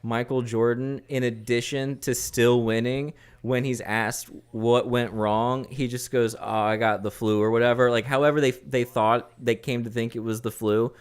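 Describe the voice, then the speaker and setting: A man's voice: medium pitch, level tone, moderate pace, hardly any pauses, clear, resonant tone. A man co-hosting a podcast, chatting casually and riffing on ideas in a questioning, conversational way. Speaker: podcast co-host.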